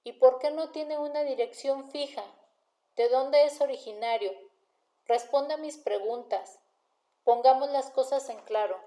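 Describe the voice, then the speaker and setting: A stern male police detective read by a woman in an audiobook interrogation. The questioning is formal and insistent, edged with cool sarcasm, in a mellow, fairly high voice. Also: a little slow. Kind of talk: audiobook character dialogue.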